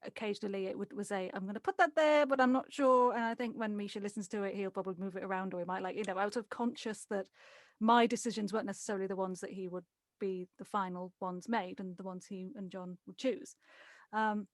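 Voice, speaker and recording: A woman's voice: medium pitch, fairly even intonation, fast, dry recording. A woman, a producer talking conversationally in an interview about her work. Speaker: voice actor and producer.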